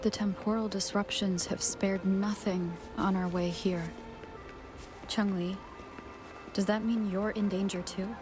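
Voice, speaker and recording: A woman's voice: medium pitch, steady tone, measured pace, music in the background, lightly acted. A woman playing an earnest, plain-spoken young wanderer in a video game. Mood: concerned.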